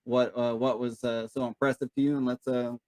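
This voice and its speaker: Male co-host, mid-pitched, relaxed and agreeable, dry close recording. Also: brisk, dry recording. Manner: easygoing, affirming, filler-heavy. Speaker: podcast co-host. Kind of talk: casual chat.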